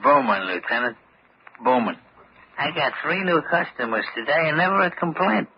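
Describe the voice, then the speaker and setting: A man's voice: a little high, expressive, moderate pace. An actor playing a folksy, cheerful morgue keeper who is fond of his corpses, in a vintage radio mystery. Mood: chirpy, joking. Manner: folksy, uneducated speech with jokey deference. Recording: dull, noisy old radio recording.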